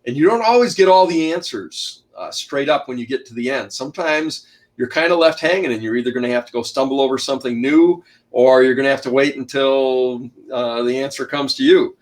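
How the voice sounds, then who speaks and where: Booming middle-aged male author. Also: brisk. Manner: loud, booming voice, packs in lots of detail; rambling, frequent restarts and uh fillers. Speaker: author and shipwreck researcher, guest. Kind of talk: casual online interview.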